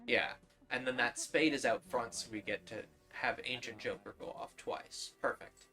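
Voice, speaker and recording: A man's voice: mid-range voice, moderate pace, pushed and bright. A man streaming a game, thinking aloud and explaining his choices in casual commentary. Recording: music and radio drama behind.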